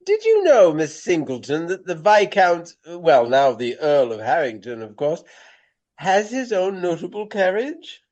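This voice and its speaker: An actor playing an aging, pompous Regency gentleman of the stage in a radio play, nostalgic and theatrical, with florid phrasing and dramatic pauses. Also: a little high, huge pitch swings, dull audio. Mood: amused gossip.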